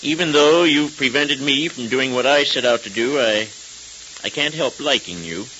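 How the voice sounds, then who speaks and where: A hissy, muffled 1930s radio recording of a man playing a masked vigilante rider. He is wry and resigned, with a bright, forceful voice at a middling pitch. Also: normal speed, natural voice.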